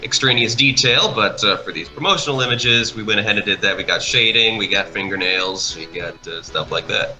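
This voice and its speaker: A man's voice: fairly low pitch, a little fast, hardly any pauses, bright, forceful tone, poor audio. A man giving casual, unscripted commentary, often trailing off and rephrasing mid-thought. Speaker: animation project creator co-hosting a speedpaint commentary. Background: background music.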